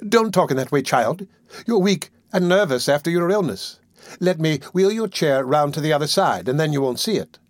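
A man's voice: a little high, lively intonation, normal speed, dry recording, clean studio sound, pitched above the performer's own voice, lightly acted. A man voicing a kindly, bustling old housekeeper woman with a good-humoured, motherly manner, in a storybook reading. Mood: alarmed.

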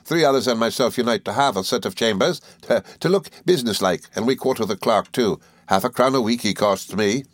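A man voicing a cheerful, good-natured young gentleman law student in an audiobook, eager and flustered, with little stammering hesitations. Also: mid-range voice, brisk, no echo, voice pushed up, lightly acted. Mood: cheerful, earnest. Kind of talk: audiobook character dialogue.

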